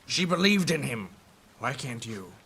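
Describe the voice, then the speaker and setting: An earnest adventurer in a fantasy audio drama. His voice is of middling pitch, bright and sharp, and he challenges others directly. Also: sing-song, unhurried, creaky, slightly rough, exaggerated voice. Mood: challenging.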